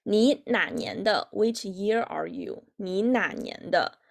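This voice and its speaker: A woman in her twenties with a Chinese accent and native Chinese, teaching an online language lesson haltingly, repeating phrases for learners. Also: mid-range voice, creaky. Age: 20s. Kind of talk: online lesson, explaining with examples.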